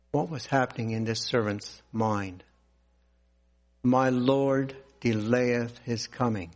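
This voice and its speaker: A man preaching a sermon, reading scripture aloud and explaining it in a deliberate, earnest way. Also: medium pitch.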